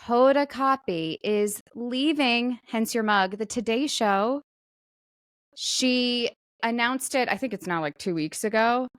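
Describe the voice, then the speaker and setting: A woman's voice: fairly high pitch, takes it slowly, no room sound, clean studio sound. A woman co-hosting a talk podcast, chatting casually and jokingly about the news. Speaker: podcast co-host.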